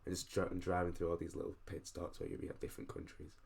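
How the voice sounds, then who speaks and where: A young man from England with a very deep, low voice talks in an easygoing, rambling way. He sounds tired, and he keeps restarting his sentences as he tells a story on a casual podcast. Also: completely flat delivery, rapid-fire, gravelly. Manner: rambling, laid-back storytelling with frequent restarts. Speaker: podcast host. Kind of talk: casual chat.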